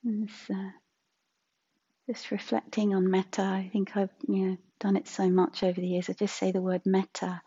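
A woman teaching a guided meditation in a soft, breathy, husky voice, gentle and unhurried with long pauses. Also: medium pitch, completely flat delivery, highs cut off.

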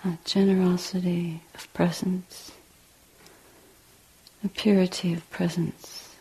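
A woman meditation teacher leading a guided meditation in a breathy, hushed voice, with deliberate short phrases and long pauses. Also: lowish voice, flat delivery, poor audio. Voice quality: husky, breathy, often near whisper.

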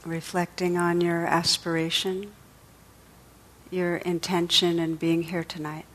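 Slow, low-voiced woman guiding meditation. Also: flat delivery, poor audio. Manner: gentle, unhurried, soothing delivery with pauses. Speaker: meditation teacher. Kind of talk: guided meditation.

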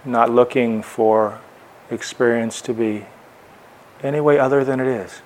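A man's voice: medium pitch, fairly even intonation, a little mellow. Man guiding meditation over background noise. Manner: unhurried short phrases with long pauses. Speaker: meditation teacher. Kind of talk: guided meditation instruction. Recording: clear background noise, room ambience.

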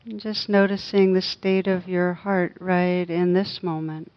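A woman leading a guided meditation as a teacher, gentle and unhurried, with long pauses between soft phrases. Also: on the low side, level tone, muffled recording.

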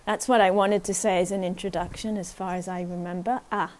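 A woman meditation teacher giving a gentle, unhurried talk at a retreat, often checking in with her listeners. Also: fairly low pitch, steady tone, slight background noise.

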